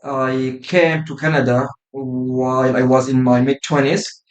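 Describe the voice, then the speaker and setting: A man from Sudan in his thirties, chatting as a podcast guest. His voice sits at an ordinary middle pitch. He talks steadily with many "uh"s and "right?" tags, and the room gives him a noticeable echo. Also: level tone. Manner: measured, with frequent "uh" and "right?" tags. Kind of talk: casual podcast conversation.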